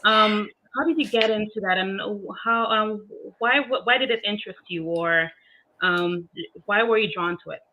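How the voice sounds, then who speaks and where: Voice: mid-range voice, normal speed, sharp tone, slight background noise, rough recording. A podcast host interviewing a guest, asking questions and agreeing often along the way. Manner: encouraging, lots of agreeing backchannels. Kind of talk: casual interview chat.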